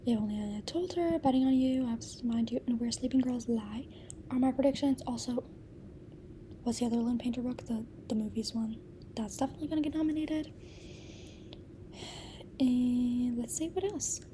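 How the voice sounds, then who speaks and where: A woman's voice: fairly high pitch, moderate pace, long pauses, a little hiss or hum. A young woman chatting casually about books, often half-whispering, with a voice worn down by a coming cold. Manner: soft, often whispery, gushing. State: losing her voice, getting sick. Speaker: book vlogger. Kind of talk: casual chat, reviewing books.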